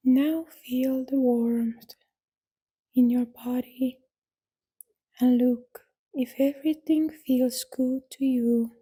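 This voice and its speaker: A woman guides a deep relaxation session extremely slowly, leaving long gaps between short, gentle phrases. Her voice is soft and breathy, with a very even, unchanging melody and a dark, relaxed tone. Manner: soothing, gentle instruction with long pauses. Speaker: yoga nidra guide. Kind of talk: guided relaxation meditation, scripted.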